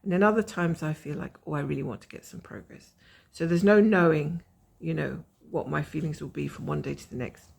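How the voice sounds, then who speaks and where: A woman with a croaky voice from a cold, chatting casually and rambling as she shows her cross-stitch progress from home. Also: low voice, a little fast, darkish tone. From Scotland. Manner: rambling, chatty, self-mocking.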